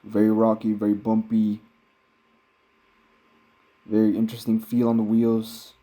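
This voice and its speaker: An American man, his accent slightly tinged Filipino, giving relaxed, rambling vlog commentary from inside a car while driving mountain roads. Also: lowish voice, a little slow. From United States. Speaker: vlogger. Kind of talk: casual driving vlog narration.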